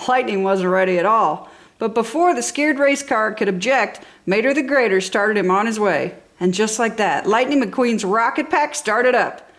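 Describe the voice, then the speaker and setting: A woman reading a children's story aloud with enthusiasm and dramatic emphasis. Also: on the high side. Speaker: storybook reader. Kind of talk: expressive children's story narration.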